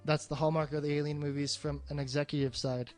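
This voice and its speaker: A man's voice: a little high, flat delivery, fast talker, a little mellow, faint music behind. A man chatting casually about film as a podcast co-host, blunt and freely swearing.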